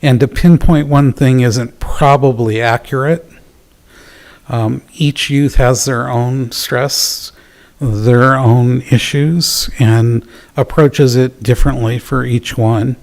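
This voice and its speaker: A man presenting at a public town council meeting, talking in a measured, earnest way with fillers and pauses. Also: medium pitch, steady tone, creaky, a little mellow. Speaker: youth program presenter.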